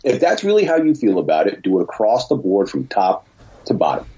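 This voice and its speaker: A man's voice: on the low side, wildly swooping pitch, fairly quick, mellow, husky. A man speaking as a podcast guest over an internet call, giving his opinions bluntly and colloquially with emphatic repetition. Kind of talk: casual opinionated discussion. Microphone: remote internet call. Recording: dull lo-fi internet call audio.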